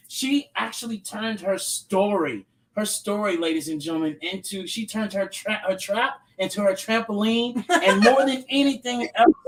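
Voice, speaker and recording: A man's voice: high-pitched, a bit bright. A man co-hosting a live podcast in an emphatic hype style, repeating phrases for effect. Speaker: podcast co-host.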